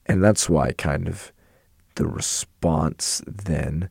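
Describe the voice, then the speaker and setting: Young male student, hoarse, very low gravelly voice, dry recording; loose rambling explainer. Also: slow, darkish tone, no echo. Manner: rambling, self-correcting, filler-heavy. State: hoarse from yelling and illness. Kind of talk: casual explainer talk.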